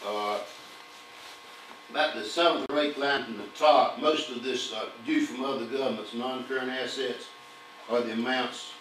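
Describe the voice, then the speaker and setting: A man's voice: medium pitch, a bit bright. Older man reading audit figures, echoey hall. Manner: reads figures steadily, with fillers. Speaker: auditor presenting to town council. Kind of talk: formal financial report reading. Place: municipal council chamber. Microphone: podium mic, far camera. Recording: echoey distant room audio with hum.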